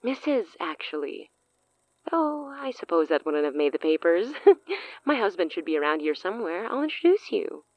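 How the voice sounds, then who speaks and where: An actress playing a poised, teasing society hostess with veiled menace in an audio drama. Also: female voice, on the low side, sing-song, normal speed, dull audio. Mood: amused, teasing. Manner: arch, theatrical, veiled menace.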